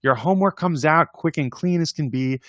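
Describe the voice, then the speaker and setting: A man hosting a casual read-aloud of children's poems, playful and expressive in verse, with informal chatty asides. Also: fairly high pitch, moderate pace, no room sound, studio quality. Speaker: host reading poems aloud on a livestream.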